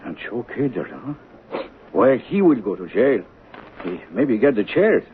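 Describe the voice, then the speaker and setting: A blunt yet kindly lunch-counter man in an old radio play. His colloquial talk is animated and puzzled, swinging in pitch. The sound is narrow, with faint noise. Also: unhurried. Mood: baffled, exasperated. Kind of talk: radio drama acting. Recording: tinny, noisy 1970s radio recording.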